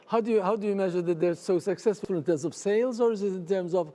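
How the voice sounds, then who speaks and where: A man's voice: high voice, fast talker, dark tone. A man, a writer on a panel, who speaks emphatically and chimes in with short agreements. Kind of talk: panel conversation.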